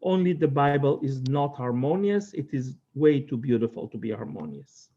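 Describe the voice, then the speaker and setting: A man from Israel with an Israeli accent, teaching a text study class in an earnest, thoughtful way with many pauses. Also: mid-range voice, sing-song, warm tone, lo-fi sound. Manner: earnest, thoughtful, pausing often.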